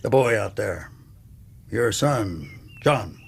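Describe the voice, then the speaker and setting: An actor playing a middle-aged, willful, sardonic rich uncle with an American Southern accent in a radio drama. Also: male voice, fairly low pitch, lively intonation, takes it slowly, vocal fry, husky, full character voice. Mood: bitter, goading. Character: hard-drinking, willful rich ex-Confederate uncle, gruffly sardonic.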